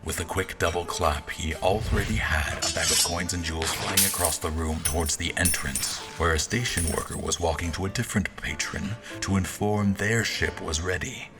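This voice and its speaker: A haughty royal officer, acted by a man, narrates in a low voice. His delivery is dry, formal and disdainful, with music clearly playing underneath. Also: normal speed, hardly any pauses. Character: haughty, observant male royal officer recounting events. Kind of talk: scripted first-person narration.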